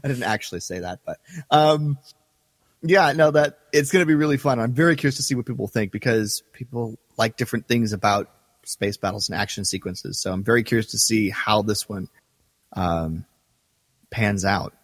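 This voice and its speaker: A man's voice: on the low side, moderate pace, dry recording. Upbeat man co-hosting a podcast, dry sound. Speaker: podcast co-host. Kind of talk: casual podcast chat.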